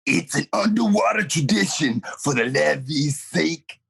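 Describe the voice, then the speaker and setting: A woman's voice: low-pitched, animated, measured pace, gravelly, a bit bright, faint music behind. A woman doing a theatrical, comedic radio advertisement read.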